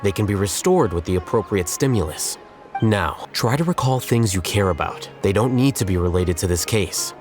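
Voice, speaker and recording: A man playing an aloof, intellectual young scholar in a video game, measured and dryly condescending. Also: lowish voice, expressive, lightly acted. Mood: composed, instructive. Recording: music behind.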